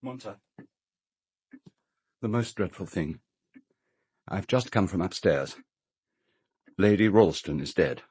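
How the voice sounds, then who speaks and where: A grave gentleman of a castle in a radio drama. His voice is low and husky, and he speaks very slowly and haltingly. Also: lively intonation, no room sound, heavily acted. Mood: shaken. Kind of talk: full-cast radio drama.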